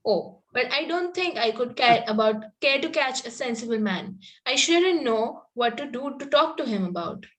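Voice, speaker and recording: A woman's voice: fairly high pitch, lively intonation, normal speed, few pauses, fairly bright tone, low-quality recording. A woman reading the part of a prim, coy young Victorian girl in an amateur table read, a little hesitantly.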